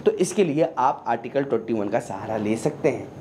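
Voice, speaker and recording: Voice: mid-range voice, lively intonation, fairly quick. A news presenter explains to viewers in a didactic way, posing questions and answering them. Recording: clearly noisy background.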